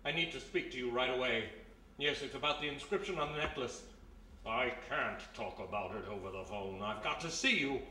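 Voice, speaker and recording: A man's voice: medium pitch, a little fast, sharp tone, close to an everyday voice. A man playing an anxious, scholarly museum curator in a theatrical radio play, recorded live. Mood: urgent, alarmed. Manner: theatrical, muttering then exclaiming. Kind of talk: live old-time radio play acting. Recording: echoey live hall, distant mic, faint noise.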